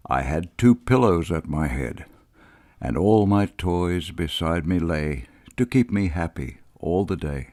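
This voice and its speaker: A man reading literary prose and verse as an audiobook narrator, in a measured, expressive storytelling way. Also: sits low, no room sound, studio quality.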